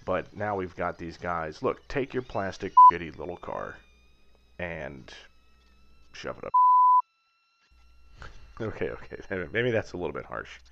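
A man's voice: low voice, sing-song, measured pace, gravelly, long pauses, pushed and bright, a little hiss or hum. A man in his thirties giving a rambling, wry voice-over about his workshop build, slipping into mock rants. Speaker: aircraft builder vlogger. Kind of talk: casual voice-over commentary. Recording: slightly dull lo-fi sound.

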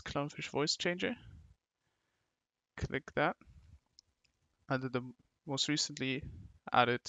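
A man narrating a step-by-step software tutorial in short, halting instructional phrases into a close mic. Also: fairly high pitch, creaky, no room sound. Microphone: close mic, screen-recording voice-over.

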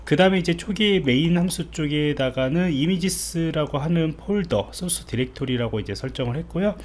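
A man's voice: fairly high pitch, expressive, moderate pace. A man giving an informal step-by-step coding tutorial as an IT instructor, thinking aloud as he types. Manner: informal explanatory, thinking aloud while typing. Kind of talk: screen-recorded coding tutorial, explained step by step. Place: indoors, voice-over on a screen recording. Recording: clearly audible background noise.

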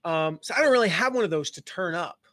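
A man's voice: high voice, expressive, quick pace, pushed and bright, no room sound, studio quality. A man giving a casual live-stream business lesson, rambling and joking with lots of fillers and restarts. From Southern United States. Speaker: online business coach.

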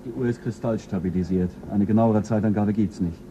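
A man's voice: lowish voice, fairly quick, mellow, slight background noise, natural voice, poor audio. An actor playing a stern male chief pilot who keeps the crew in line, firm and dry. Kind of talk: 1970s radio play acting.

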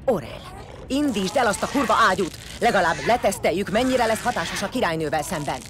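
A woman's voice: mid-range voice, expressive, noisy background, close to an everyday voice. An actress playing a bloodthirsty, vengeful warrior woman in a sci-fi audio drama, curt, commanding and foul-mouthed. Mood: cold, commanding. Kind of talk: science fiction audio drama acting.

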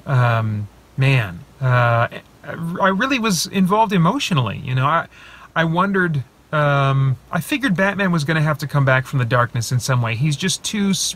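A man in his thirties giving a casual, rambling film review from inside a parked car, recorded on a phone held at arm's length. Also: fairly high pitch. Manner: animated, rambling with many fillers and restarts. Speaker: vlogger reviewing films.

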